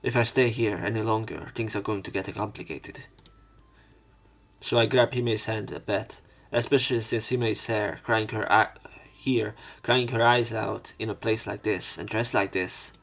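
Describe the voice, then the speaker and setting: Casting: male let's player, lowish creaky voice, casual and rambling, narrating a visual novel. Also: fairly even intonation, moderate pace, a bit bright. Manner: rambling, hesitant, conversational asides. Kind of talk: casual let's play commentary and story read-aloud.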